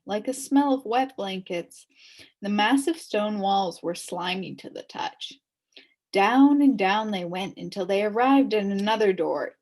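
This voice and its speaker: A woman reading a children's book aloud as an expressive storyteller. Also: mid-range voice, creaky, husky.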